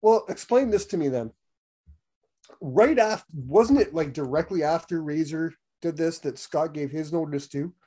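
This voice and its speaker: A man's voice: fairly high pitch, normal speed, dark tone, slightly rough. A man in his thirties co-hosting a casual wrestling podcast while sick and losing his voice, rambling with lots of fillers and restarts. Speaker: podcast co-host.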